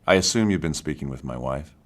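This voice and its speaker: A man's voice: a bass voice, expressive, fast. An actor playing a smug, controlling male suspect in an audio drama, curt and defensive under interrogation. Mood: smug, cool. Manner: curt, defiant.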